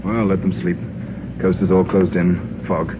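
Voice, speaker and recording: Tinny old radio drama recording, clear noise, airline captain, lowish voice, composed, businesslike. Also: male voice, flat delivery, unhurried. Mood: steady, matter-of-fact. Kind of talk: 1950s radio drama acting.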